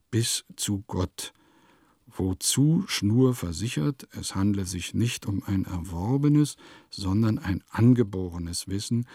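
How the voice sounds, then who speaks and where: An actor playing a wry diarist of bygone provincial life in a radio play, with deliberate, declamatory phrasing. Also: male voice, lowish voice, a little mellow, husky, dry recording, studio quality, full character voice. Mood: amused, wry. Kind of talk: literary radio play monologue.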